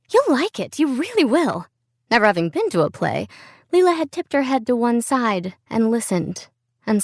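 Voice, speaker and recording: A woman narrates literary fiction at a mid-range pitch. Her storytelling is measured and expressive, recorded very dry in a studio. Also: dry recording. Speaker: audiobook narrator.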